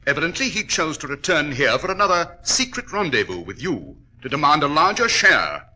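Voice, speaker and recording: An actor playing a shrewd, composed English detective with a British accent and a commanding, precise, clipped delivery in 1940s radio style. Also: male voice, a little high, big pitch swings, fairly quick, sharp tone, heavily acted. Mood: coolly incisive. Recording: vintage 1940s radio recording.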